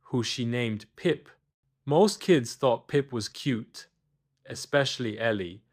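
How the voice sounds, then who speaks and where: A man reading a scripted children's bedtime story as the storyteller. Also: mid-range voice.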